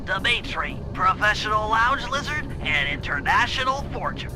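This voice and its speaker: A man's voice: sits high, lively intonation, normal speed, music in the background, poor audio. A man voicing a nerdy, cautious young turtle mastermind in a video game, nasal, speaking in precise, wordy, scholarly briefings. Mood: calculating, earnest. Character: nerdy, cautious male turtle brainiac, young gadget-minded strategist. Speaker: mission planner and guide. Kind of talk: video game cutscene and tutorial voice acting.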